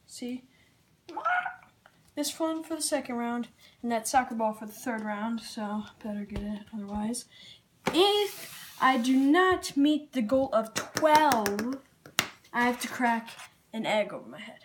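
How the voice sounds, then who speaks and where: A woman's voice: on the high side, lively intonation, measured pace. A woman chatting casually as a vlog host, with slangy, muttered asides. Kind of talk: casual challenge vlog chat. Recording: very noisy home video sound.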